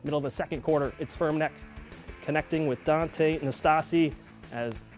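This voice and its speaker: A man working as a sports anchor, narrating game highlights from a script with an emphatic play-by-play delivery. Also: a little mellow.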